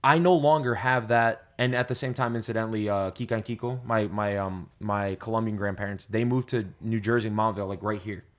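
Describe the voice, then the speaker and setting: A man's voice: on the low side, steady tone, brisk, few pauses. A young man from New York hosting a casual podcast chat, telling stories in a loose, rambling way with plenty of swearing. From New York, USA. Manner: loose and profane, rambling storyteller. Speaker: podcast host.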